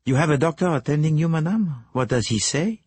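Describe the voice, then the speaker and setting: A man's voice: on the high side, expressive, brisk, a little mellow, husky, pitched above the performer's own voice. A man voicing a courteous, precise middle-aged foreign detective, asking formal, businesslike questions in an audiobook. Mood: probing.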